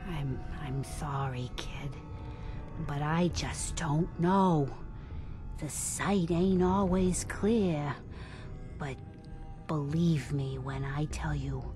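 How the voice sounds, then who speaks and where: Frail, mystical old woman for a game, unhurried folksy drawn-out delivery, music behind. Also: sits low, fairly even intonation. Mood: weary. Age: older. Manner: slow, halting, folksy. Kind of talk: game voice acting.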